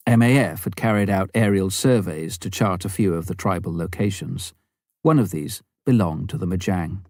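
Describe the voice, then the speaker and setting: A man's voice: low-pitched, darkish tone, dry recording, clean studio sound. A man reading a memoir aloud as scripted audiobook narration.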